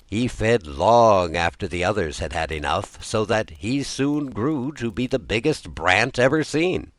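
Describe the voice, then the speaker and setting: Male storyteller for scripted folk tale narration, expressive, wide-ranging intonation, dry sound. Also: lowish voice, no echo.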